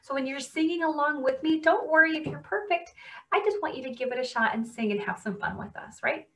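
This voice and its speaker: A woman's voice: sits high, brisk, pushed and bright. A woman in her thirties, a teacher reading a picture book to children at home with encouraging questions. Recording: distant, muffled home webcam recording.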